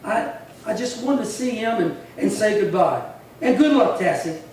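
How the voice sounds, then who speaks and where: A man playing a proud, hot-headed cowboy with a rough, folksy way of talking, in a Western radio drama. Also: high voice, animated, normal speed, darkish tone. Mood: subdued, resigned. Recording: echoey hall, distant mic, faint noise.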